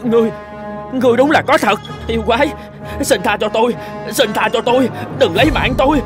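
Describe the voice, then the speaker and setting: A man's voice: extremely high pitch, brisk, over music. A man voicing a greedy, cowardly thief in a cartoon, with theatrical, jittery delivery. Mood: terrified. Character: greedy, cowardly male thief in haunted castle. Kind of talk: children's cartoon dubbing.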